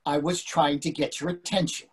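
A man's voice: a little high, fairly even intonation, moderate pace, slightly rough. A man in his sixties playing an irritable, sarcastic husband in a readers theatre comedy over a video call. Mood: strained, sarcastic. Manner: sarcastic, exasperated. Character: irritable, indignant older husband dining out.

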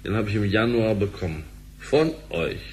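A man's voice: on the low side, lively intonation, normal speed, slight background noise, lightly acted. A man playing a composed, dry-humoured executive in a conversational radio drama. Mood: insistent.

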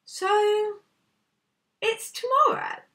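A woman's voice: very high-pitched, very slow, sharp tone, pitched above the performer's own voice, exaggerated voice. A woman playing an anxious, questioning young boy in a storybook, speaking hesitantly. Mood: puzzled. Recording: slightly distant camera microphone.

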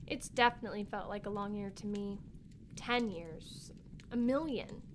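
A woman playing a sensitive, excitable young girl, a grieving little sister, in a family audio drama, with a childlike, openly emotional manner. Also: mid-range voice, normal speed, a little hiss or hum, natural voice. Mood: sad, confiding.

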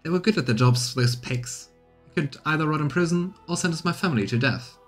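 A man's voice: on the high side, a little fast, soft background music, pitched above the performer's own voice, lightly acted. A man voicing a bitter, defeated male assassin from a fantasy game, confessing his past resentfully.

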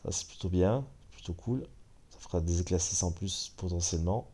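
A man's voice: deep voice, moderate pace, slightly rough. A man with a French accent and a stuffy head cold, chatting casually as he comments on a mobile game. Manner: relaxed, chatty, many fillers. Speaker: gaming channel host.

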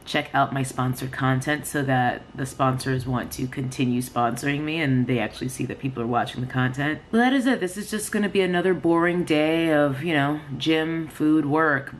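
A young woman talking candidly and casually to camera, like a vlogger, indoors at home with the camera at arm's length. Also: sits low. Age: young adult. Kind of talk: casual vlog chat to camera. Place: small home rooms, indoors. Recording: noticeable background room noise.

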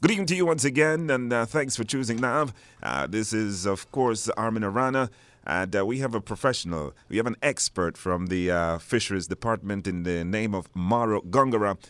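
A man's voice: fairly low pitch, brisk, fairly bright tone, clear, resonant tone, no echo. A man presenting a live radio talk show, hesitating and repeating words for stress. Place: radio studio.

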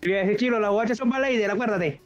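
A man's voice: high voice, quick pace. A man bantering casually in slangy, foul-mouthed style while gaming. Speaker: online gamer. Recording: dull lo-fi voice chat, music behind.

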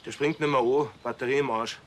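An actor playing an evasive, gruff farmer in a TV crime comedy, offhand and curt. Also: male voice, mid-range voice, fairly even intonation, a little fast, a bit bright, a little hiss or hum, natural voice. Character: evasive, gruff male farmer and smokehouse butcher.

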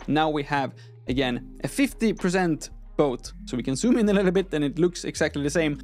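Higher-voiced young man teaching step by step. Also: a little mellow. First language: not English. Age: young adult. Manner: explanatory, step-by-step, informal. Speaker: tutorial host. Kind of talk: conversational software tutorial. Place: small room at a desk, indoors. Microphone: close microphone on an arm.

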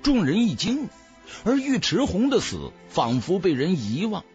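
A man narrating an audiobook in a dramatic, theatrical, expressive storyteller's manner. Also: sits high, measured pace, lo-fi sound, soft background music.

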